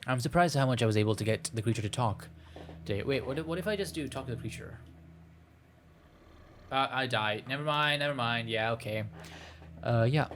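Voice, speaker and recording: A man doing a casual game playthrough, reading the story text aloud in a halting way, stumbling over words. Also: mid-range voice, fairly bright tone, a little hiss or hum. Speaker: streamer playing a visual novel.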